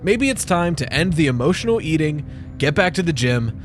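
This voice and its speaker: Lead male, played by an actor: lonely, awkward, self-deprecating security guard, expressive, horror dub with background music. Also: a little high, moderate pace. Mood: bemused. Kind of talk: dramatized horror comic dub.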